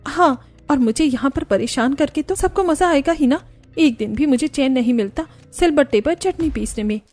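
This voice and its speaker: An overworked young daughter-in-law in a cartoon, acted by a woman. She is dutiful but grumbles, in a high-pitched voice over music. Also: lively intonation, normal speed, darkish tone. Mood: exasperated. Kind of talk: cartoon family drama dialogue.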